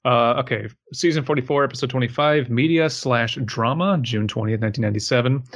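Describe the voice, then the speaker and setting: Mid-pitched man chatting on a podcast. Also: fast talker, a bit bright. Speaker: podcast panelist. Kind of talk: casual chat, reading aloud.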